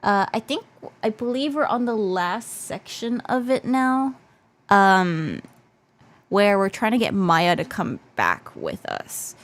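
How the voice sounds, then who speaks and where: A woman's voice: mid-range voice, big pitch swings, slow pace. A woman livestreamer chatting casually in a playful, hesitant, repetitive way, with a stuffed nose and a voice that is slightly giving out. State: slightly losing her voice. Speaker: VTuber livestreamer. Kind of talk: casual livestream chat in English mixed with Japanese.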